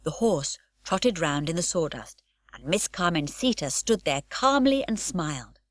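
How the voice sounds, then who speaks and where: Woman audiobook narrator, very lively pitch, dry close mic, middle pitch. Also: a little slow, no echo. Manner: expressive, varied storytelling. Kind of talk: scripted storybook narration.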